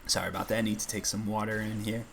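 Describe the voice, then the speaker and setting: Raspy, gravelly low-voiced man chatting. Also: little pitch movement, racing, noisy background. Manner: rambling, self-deprecating, chatty. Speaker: gaming channel host. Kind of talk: casual gameplay commentary.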